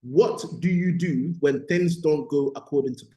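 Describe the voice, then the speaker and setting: A man's voice: fairly high pitch, moderate pace, warm tone. A man from Ghana who grew up in the UK, teaching as a motivational business coach, emphatic and repeating key phrases with frequent check-ins. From born in Ghana, moved to the UK at eight. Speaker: business mindset coach leading a course. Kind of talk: motivational teaching in a live online session. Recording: roomy, echoing sound.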